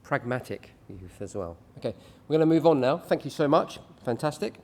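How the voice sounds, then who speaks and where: A man chairing a panel, briskly thanking one speaker and introducing the next, with small pauses between names. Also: mid-range voice, expressive, darkish tone.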